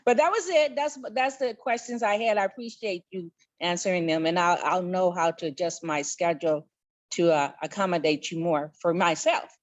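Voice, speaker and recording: A woman with a somewhat low voice chats as a participant in an online session, asking questions casually. Her call audio is a little muffled. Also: normal speed. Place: group video call.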